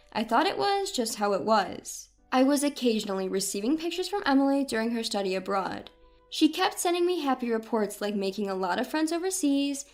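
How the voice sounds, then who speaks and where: A woman reading a scripted first-person story in an expressive, dramatized way. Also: high voice. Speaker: story narrator.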